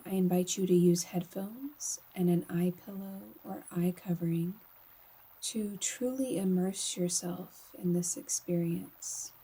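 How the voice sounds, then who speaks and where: A woman guiding a slow meditation in a soft, breathy voice, often near a whisper, calm and soothing, with long pauses. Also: lowish voice, rough recording. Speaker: yoga nidra guide.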